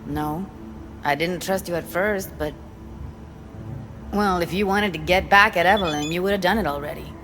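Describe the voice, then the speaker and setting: An actress playing a sincere, caring young woman in a video game, earnest and halting in her speech. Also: lowish voice, fairly bright tone, faint music behind, rough recording. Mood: anxious, earnest. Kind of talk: naturalistic video game acting.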